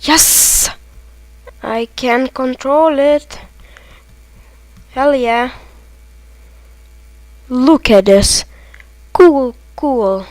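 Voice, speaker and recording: A Lithuanian-accented woman talks through a video game at an unhurried, slow pace. Her voice sits a little high, and she breaks into excited reactions as she plays. The recording is dry and close, with no room sound. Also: no echo. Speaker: let's play gamer. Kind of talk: casual gameplay commentary.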